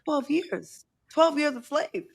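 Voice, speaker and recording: A woman's voice: sits high, lively intonation, slow, vocal fry. A woman with a New England accent, chatting casually and laughing at herself as a co-host. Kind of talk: casual chat.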